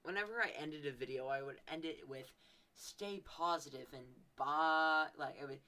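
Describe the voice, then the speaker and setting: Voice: mid-range voice, normal speed, noisy background. A podcast host casually commenting on their own old videos, with ironic hype and a habit of echoing phrases.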